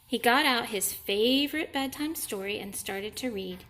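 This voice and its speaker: A woman teacher reading a picture book to young children, deliberate and explanatory, pausing to ask questions. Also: fairly high pitch, a bit bright. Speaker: elementary school teacher. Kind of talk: read-aloud lesson for young children.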